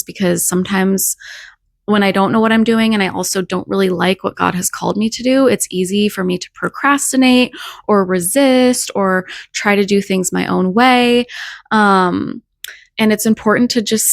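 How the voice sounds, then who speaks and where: A young woman with a scratchy, hoarse voice from a cold, talking earnestly and reflectively about faith in a casual vlog. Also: mid-range voice, dry recording. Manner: earnest, reflective, with soft laughs. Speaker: faith vlogger. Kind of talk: casual devotional talk to camera.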